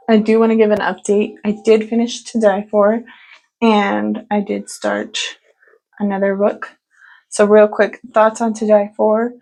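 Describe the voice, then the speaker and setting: A woman vlogger talks about books she's reading, loose and rambling, full of fillers. Her voice has a gravelly, creaky edge and sits at an ordinary pitch. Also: a little mellow, rough recording. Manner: chatty, rambling, many fillers. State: stuffy from allergies. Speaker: book vlogger. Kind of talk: casual book update chat.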